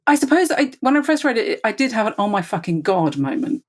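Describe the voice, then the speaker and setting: Female theater guest, casual interview. Halting, self-correcting delivery. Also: a little high, big pitch swings.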